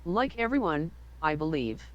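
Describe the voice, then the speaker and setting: A woman's voice: deep voice, big pitch swings, moderate pace, muffled recording. A woman voicing an evasive female suspect in an old mystery game, with synthetic speech and curt, guarded replies.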